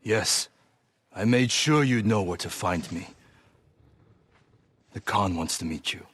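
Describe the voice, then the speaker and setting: An actor playing a weary traitorous ronin in a video game, appeasing and strained in manner. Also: male voice, on the low side, takes it slowly, a bit bright, breathy edge, heavily acted. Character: traitorous male ronin, old friend, weary, persuasive. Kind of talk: dramatic video game acting.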